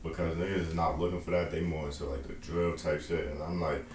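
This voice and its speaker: A man's voice: very deep voice, level tone, quick pace. A man talks loosely about his music, full of slang and "you feel me". His voice sounds roomy and echoey, with faint noise behind. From Long Island, New York. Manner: loose, rambling, slangy with many fillers. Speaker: indie rapper guest. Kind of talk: casual interview.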